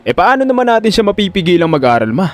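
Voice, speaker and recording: A man's voice: sits high, big pitch swings, quick pace, faint music behind. A man with a Filipino accent voicing an anxious, scheming young son in an audio drama. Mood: uneasy.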